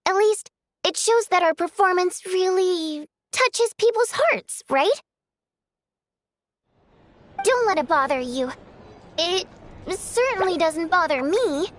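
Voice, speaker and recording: A woman playing a proud, easily offended theater singer in scripted video game dialogue, with an expressive, theatrical, pointed delivery. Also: very high voice, takes it slowly, long pauses, exaggerated voice. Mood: wounded restraint.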